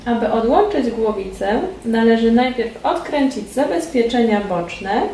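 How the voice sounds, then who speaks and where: A woman giving formal, measured, scripted instructional narration as a presenter in a training film. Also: on the high side, animated, warm tone. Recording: roomy echo, distant mic, background noise.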